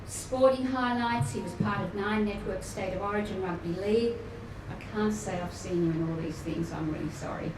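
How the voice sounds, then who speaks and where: A woman welcoming guests at a luncheon, formal but with warm chatty asides. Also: medium pitch, normal speed, hardly any pauses. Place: function room at a luncheon event. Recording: echoey room, distant mic, noisy.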